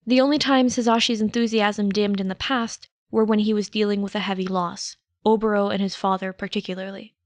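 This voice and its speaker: A woman's voice: mid-range voice, fairly even intonation, brisk, few pauses, darkish tone, dry recording. A woman reading a story aloud as scripted narration. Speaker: podfic narrator.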